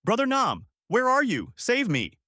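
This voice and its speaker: A man's voice: on the high side, sing-song, normal speed, dry recording, clean studio sound, voice pushed up. A man voicing a devoted woman teacher in a story, crying out desperately and pleadingly to her lost brother. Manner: pleading, shouted cries.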